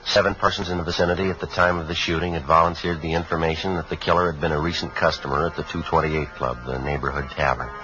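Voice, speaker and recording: A man's voice: very deep voice, steady tone, quick pace, few pauses. A man playing an American police sergeant in a 1950s radio drama, narrating and questioning in a terse, matter-of-fact, businesslike way. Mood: flat, factual. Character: calm, businesslike male police sergeant narrating his case. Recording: dull 1950s radio broadcast, faint music.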